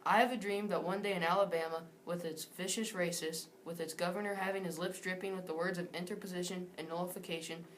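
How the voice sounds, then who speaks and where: A woman's voice: lowish voice, fairly even intonation, fast talker, few pauses, low-quality recording. A teenage girl, a student, reading a speech aloud. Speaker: student reader. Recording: faint background noise.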